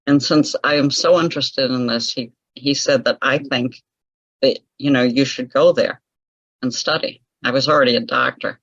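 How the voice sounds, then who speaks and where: Husky-voiced woman telling her story. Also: very deep voice, normal speed, dry recording, dull audio. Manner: chatty, laughs often, lively retelling of her own past. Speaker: interview guest, author. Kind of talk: casual storytelling in an interview.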